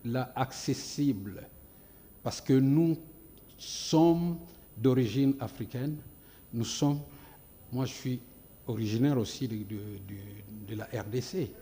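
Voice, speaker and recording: A man's voice: medium pitch, slow pace, mellow, big-room sound. A man from the Congo, a guest entrepreneur on a TV studio set, speaks hesitantly with many fillers and repeated words, growing emphatic. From Democratic Republic of the Congo. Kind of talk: TV studio interview.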